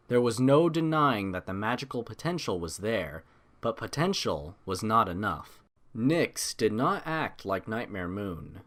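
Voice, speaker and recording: Expressive male audiobook narrator. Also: lowish voice.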